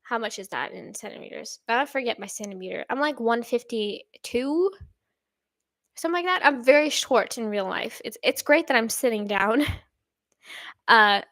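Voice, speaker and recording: A young woman hosting a casual live stream, reading viewer comments and rambling with lots of fillers and soft laughs. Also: expressive, moderate pace, dry recording. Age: young adult. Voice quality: somewhat deep voice. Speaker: reaction channel host.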